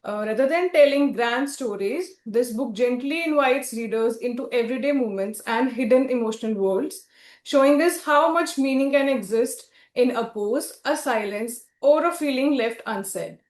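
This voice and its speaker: High-voiced woman hosting in a roomy echo. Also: moderate pace. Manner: polite and deferential. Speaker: interviewer and host for a publisher. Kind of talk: author interview, partly scripted introduction and questions.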